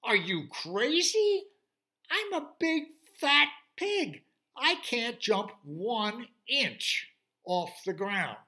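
A lazy, grumpy big fat pig, put on by a male storyteller, squeals his complaints in a high, strained, loud voice. His lines swoop up and down with lots of bright, sharp edge. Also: very animated, very slow, exaggerated voice.

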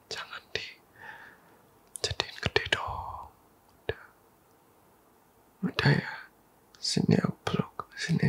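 A man whispering softly and intimately in a comforting boyfriend ASMR roleplay, coaxing and teasing with quiet laughs and stray English words. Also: on the high side, creaky, husky. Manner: mixes English words into casual talk.

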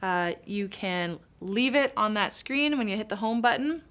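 A woman's voice: medium pitch, animated, unhurried, fairly bright tone. Chatty young woman demoing gear. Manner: chatty, upbeat, explains with hand gestures. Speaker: product demo presenter. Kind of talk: casual product walkthrough. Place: small studio room with acoustic foam.

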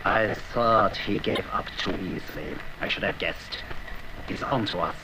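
An actor playing a cold, commanding spymaster villain in a curt, menacing 1960s radio thriller style. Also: male voice, fairly low pitch, a little slow, natural voice. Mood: cold menace. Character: commanding male villain, cold spymaster boss named Otto. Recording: noisy damaged 1960s flexidisc recording.

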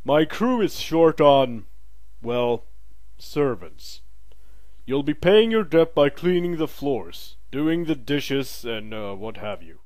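A man playing a brisk, pragmatic military recruiter in an audio drama, matter-of-fact and wry. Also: mid-range voice, very animated, darkish tone, no echo.

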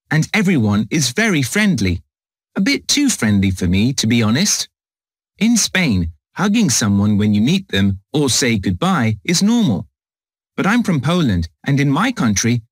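A man's voice: medium pitch, dry recording, clean studio sound. A man reading a young male backpacker's blog post in a cheerful, chatty way for textbook audio. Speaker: textbook dialogue reader.

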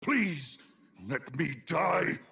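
A man's voice: on the high side, expressive, very slow, creaky, bright, forceful tone, husky, full character voice. A man voicing a tormented, weary undead giant in a video game, with anguished, dramatic delivery. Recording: music behind the voice.

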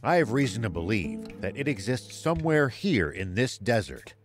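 An actor playing a stern, principled old sheriff in a video game cutscene, speaking formally and with resolve. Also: male voice, fairly low pitch, big pitch swings, normal speed, music in the background. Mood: earnest, explaining.

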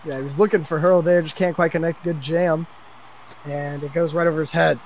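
A man giving play-by-play commentary on an online game match, calling the action quickly and excitedly. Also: fairly high pitch, a little hiss or hum. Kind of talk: sports commentary.

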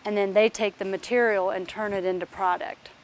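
A woman's voice: mid-range voice, steady tone, fairly quick, poor audio. A woman guiding a walking tour of an aircraft workshop, explaining parts as she points them out. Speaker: factory tour guide. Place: large aircraft workshop indoors.

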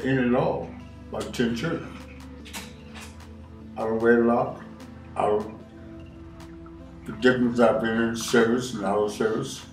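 A man's voice: mid-range voice, distant mic. Older man, roomy and off-mic. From Washington, D.C. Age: 60s. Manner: plain-spoken, repeats his points. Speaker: interviewee. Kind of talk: documentary interview. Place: living room on a leather couch.

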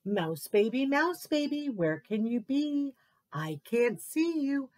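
A woman's voice: high voice, sing-song, slow pace, darkish tone, full character voice. A woman voicing a playful father mouse who calls for his hiding child in a sing-song rhyming chant.

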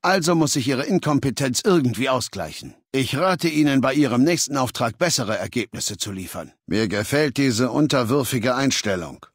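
An actor playing a cold, imperious warlord dictator in a video game, speaking in a commanding, haughty, lecturing manner. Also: male voice, medium pitch, lively intonation, normal speed, few pauses, breathy edge, dry recording, studio quality. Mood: haughty, authoritative. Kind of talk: video game character dialogue.

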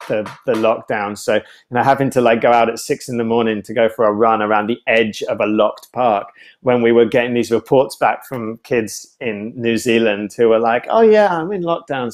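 A man in his thirties speaks as an interview guest over a video call. His voice sits at a middle pitch. He is thoughtful and hesitant, often circling back to restart a phrase as he looks for the right word. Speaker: guest, writer and theatre artist. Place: home room with bookshelves, indoors. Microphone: video call, wired earphones.

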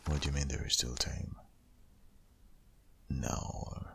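Man acting very deep, gravelly, breathy protective boyfriend. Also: level tone, takes it slowly, fairly bright tone, heavily acted. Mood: firm, protective. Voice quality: very deep, gravelly, husky, often half-whispered. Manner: insistent, intimate, pleading. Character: protective, worried boyfriend of a pregnant woman. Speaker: audio roleplay performer. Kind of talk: boyfriend ASMR roleplay, close and breathy.

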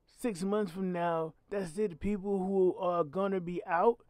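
A man's voice: high-pitched, steady tone, a little slow, darkish tone, dry recording. Growly-voiced man ranting bluntly. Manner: blunt, emphatic, preachy with swearing. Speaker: channel host. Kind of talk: solo commentary podcast.

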